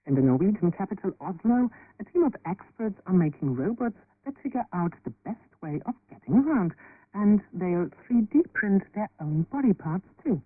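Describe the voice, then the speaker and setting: A woman's voice: mid-range voice, big pitch swings, moderate pace, husky, no room sound. A woman presenting a scripted introduction on a radio news programme. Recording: muffled, tinny shortwave radio broadcast.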